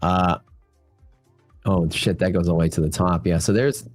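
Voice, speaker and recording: A man's voice: sits low, steady tone, moderate pace, soft background music. A man hosting a live futures trading stream, talking loosely to his chat, his voice worn and nasal from a cold. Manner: loose, filler-heavy talk to the chat. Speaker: futures trading livestream host. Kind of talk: casual live market commentary.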